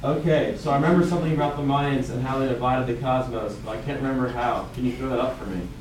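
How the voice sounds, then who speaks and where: A man's voice: medium pitch, fairly even intonation, quick pace, natural voice. A man playing a curious, easily confused schoolboy in a scripted puppet show. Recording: very echoey room, distant mic, clear noise.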